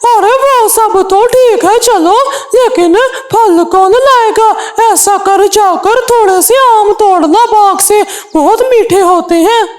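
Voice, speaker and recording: A woman's voice: very high voice, slow, hardly any pauses, soft background music. A woman voicing a sly, bossy male crow with an offhand manner in a children's cartoon. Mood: demanding. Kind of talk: Hindi children's cartoon dialogue.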